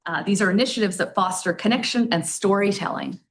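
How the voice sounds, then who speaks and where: A woman's voice: mid-range voice, fairly quick. A woman hosting a live campus event, introducing speakers from a podium with the occasional filler and self-correction. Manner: reads bios, with filler ums and small self-corrections. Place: lecture hall at an in-person event.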